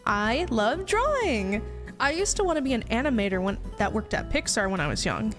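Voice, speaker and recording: A woman in her twenties with an American accent, a native Spanish speaker, chatting casually and playfully as a streamer introducing herself. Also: a little high. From Ohio, United States. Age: 20s. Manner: playful, giggly, self-deprecating. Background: music.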